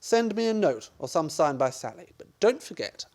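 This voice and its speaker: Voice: medium pitch, big pitch swings, normal speed, darkish tone, natural voice. Someone playing a wry, theatrical son-in-law, swooping delivery. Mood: conspiratorial. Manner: theatrical, sardonic, exclamatory. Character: wry, scheming son-in-law who scorns his mother-in-law. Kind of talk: stage-comedy radio play acting.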